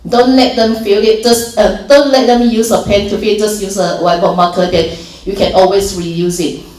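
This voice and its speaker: A woman's voice: mid-range voice, fairly even intonation, moderate pace, darkish tone. A woman from Malaysia with a Malaysian accent, giving an informal volunteer training talk, explaining steps plainly with many restarts and fillers. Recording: echoey room from far off.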